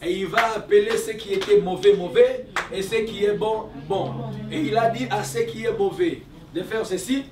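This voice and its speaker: A man's voice: high-pitched, normal speed. Emphatic preaching man in echoey hall. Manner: emphatic, repeats key phrases, laughs between lines. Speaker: prophet and preacher. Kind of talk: preaching with congregation responses. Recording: echoey room, distant mic, crowd responses. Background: congregation answering amen.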